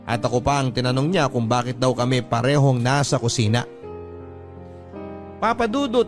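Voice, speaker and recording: A man's voice: medium pitch, close to an everyday voice. A man playing a devoted, worried husband who narrates his own story in an earnest, heartfelt way. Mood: anguished, hopeful. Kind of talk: scripted dramatic narration of a letter. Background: background music.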